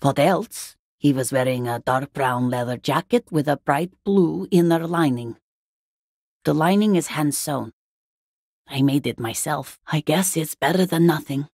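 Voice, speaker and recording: A woman playing a weary, guarded working class wife and mother in a video game, husky, plain-spoken and exasperated. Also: deep voice, a little slow, a little mellow, no room sound, studio quality, heavily acted. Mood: weary, insistent. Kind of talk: video game character dialogue.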